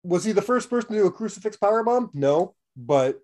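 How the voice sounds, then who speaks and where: A man's voice: sits high, expressive, fairly quick, darkish tone. A man in his thirties co-hosting a casual wrestling podcast while sick and losing his voice, rambling with lots of fillers and restarts. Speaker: podcast co-host.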